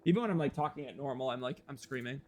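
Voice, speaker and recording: A young man with a loud, slightly hoarse voice, rambling casually to his viewers as a live streamer while he plays a game. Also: mid-range voice, racing, slight background noise. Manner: loud, rambling, talks straight to chat. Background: faint guitar music.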